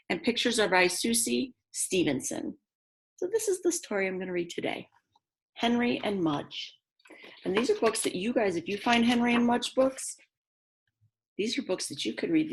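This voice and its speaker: A woman with a very lively, medium-pitched voice reads to young children like a teacher. She moves between expressive storybook narration and simple, chatty explanations aimed straight at her listeners. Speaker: teacher reading aloud to children. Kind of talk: children's read-aloud with chatty asides.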